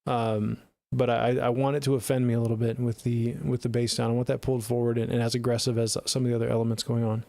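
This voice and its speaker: Guy streaming music reviews, chill slangy chat, medium pitch, super dry mic sound. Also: little pitch movement, quick pace, gravelly, a little mellow, no echo. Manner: laid-back slangy talk, addressing viewers as dude and gang. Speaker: livestream host reviewing submitted music. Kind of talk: casual livestream chat and music feedback.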